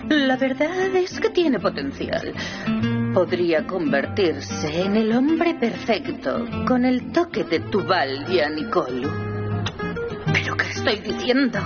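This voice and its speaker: A flirtatious designer who teases and giggles. Her speech is slow and lilting, and rises and falls a lot. The dub is slightly dull, with loud guitar under it. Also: medium pitch, sing-song. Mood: playful. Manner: playful, giggly, drawn-out. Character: flirtatious red-haired fashion woman. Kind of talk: Spanish dub of a TV drama. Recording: slightly dull TV audio over music.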